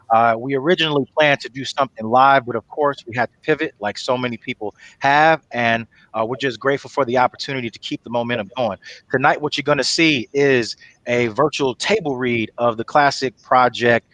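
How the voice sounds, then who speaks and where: Man, host and narrator, casual delivery, mid-pitched voice, dry recording. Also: fairly bright tone, dry recording, rough recording. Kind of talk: casual hosting and script reading.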